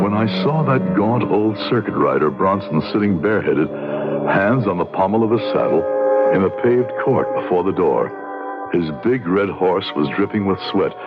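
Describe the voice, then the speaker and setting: An actor playing a grave frontier judge in a radio drama, speaking in a measured, deliberate manner. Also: male voice, low-pitched, lively intonation. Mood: tense, reflective. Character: grave frontier judge, a man, narrating and investigating. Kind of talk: radio drama acting and narration. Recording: muffled radio drama recording with music.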